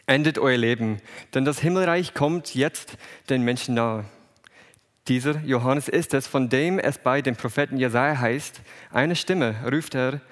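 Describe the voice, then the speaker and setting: A man with an English-speaking accent reads the Bible aloud and preaches in careful, halting German. He breaks off and restarts words often. His voice carries a long reverb from a large hall, and its pitch sits in an average range. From England, raised in USA. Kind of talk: bible reading and sermon.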